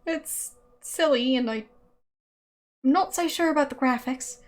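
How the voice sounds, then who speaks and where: A woman's voice: sits high, a little slow. A woman whose first language is German, chatting casually with viewers while she streams, hesitant and full of fillers and small laughs.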